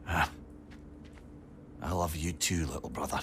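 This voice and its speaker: A man's voice: a bass voice, unhurried, fairly bright tone, slight background noise, full character voice. A man playing a witty, affectionate and boastful older sage in a video game. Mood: moved, affectionate. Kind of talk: video game cutscene acting.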